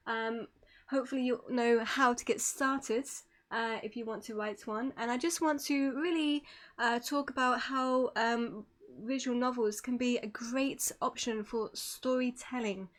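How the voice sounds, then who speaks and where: A woman's voice: a little high, fairly even intonation, moderate pace. A woman giving an informal online presentation as a streamer, polite and apologetic in manner.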